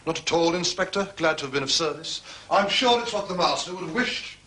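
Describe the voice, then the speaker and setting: An actor playing a self-important, discreet butler in a period detective drama, formal and condescending in manner. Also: male voice, on the high side, expressive, fairly quick, pushed and bright. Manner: formal, pompous, deferential to authority.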